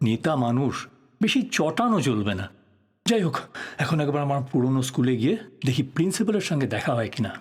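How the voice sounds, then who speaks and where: An actor playing an earnest, persistent journalist in an audio drama, speaking politely and probingly in a husky voice. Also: male voice, on the high side, a little mellow. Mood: thoughtful, courteous. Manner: polite, probing, musing to himself. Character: earnest, persistent male journalist probing his old teacher's death. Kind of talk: Bengali audio drama acting.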